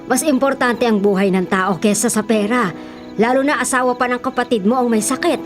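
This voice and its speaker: A woman's voice: a little high, brisk, lightly acted. A woman with a Filipino accent playing a kind, worrying older mother in a radio drama. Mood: kindly, resigned. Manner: fretful, exclaiming. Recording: music and crowd noise behind. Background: music, crowd sounds.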